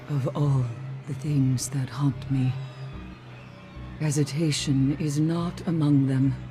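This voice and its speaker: A woman playing a grim, haunted mystic in a video game cutscene, speaking solemnly and ominously in formal, measured phrases with a breathy voice. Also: sits low. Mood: haunted, foreboding. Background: music.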